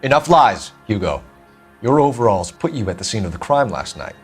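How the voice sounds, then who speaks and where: Male, driven 1940s detective for a crime game. Clipped, formal, insistent, with highly varied intonation. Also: big pitch swings, brisk, husky, faint music behind. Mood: accusing. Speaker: video game voice actor. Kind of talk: dramatic period crime game acting.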